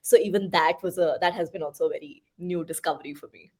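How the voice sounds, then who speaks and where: A woman's voice: on the low side, fast talker, a little mellow. A young woman with an Indian English accent, a podcast guest talking through her path, with frequent fillers and asides. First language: Hindi. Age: young adult. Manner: thoughtful, with many fillers and asides. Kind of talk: conversational interview.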